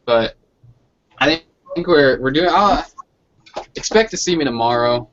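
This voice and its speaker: A man's voice: medium pitch, animated, a little slow, a bit bright. Guy just chatting, swears a lot, slangy, dull lo-fi hangout audio. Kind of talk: casual chat. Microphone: online video hangout. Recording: dull lo-fi online call.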